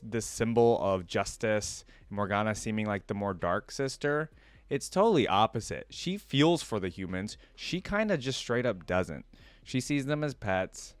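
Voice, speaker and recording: A man's voice: on the low side, dry recording. A man hosting a reaction video, talking casually and enthusiastically as a fan, with rambling asides. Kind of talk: casual fan commentary, chatty.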